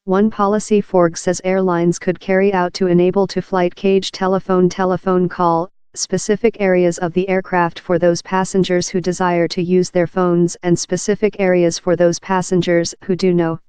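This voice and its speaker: Article narrator, scripted read, middle pitch, flat intonation, exceptionally clean tone, impersonal delivery. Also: moderate pace, few pauses, clear, resonant tone, no room sound. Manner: reading aloud, impersonal and uninflected.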